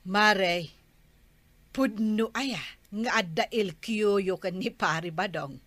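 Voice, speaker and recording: Bright, nosy Ilocano gossip. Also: female voice, mid-range voice, slow pace, no room sound. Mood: nosy, teasing. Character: nosy gossiping female neighbour, teasing and cheery. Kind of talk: Ilocano radio comedy drama.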